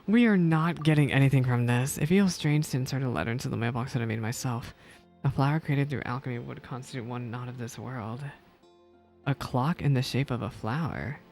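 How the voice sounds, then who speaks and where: Creaky-voiced man streaming a game. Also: mid-range voice, moderate pace. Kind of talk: casual gameplay reaction commentary. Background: soft video game music.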